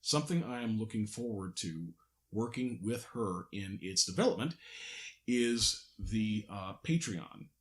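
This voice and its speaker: A man's voice: fairly low pitch, expressive, unhurried. A man chatting as a podcast host and voice actor, agreeable and given to short affirmations. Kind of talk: behind-the-scenes podcast chat.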